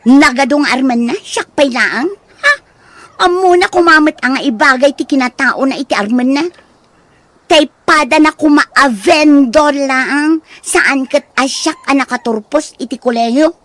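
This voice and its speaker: Casting: haughty young woman graduate, played by an actress, high register, Ilocano accent, radio drama. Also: a little slow, fairly bright tone, no room sound. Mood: haughty, irritated.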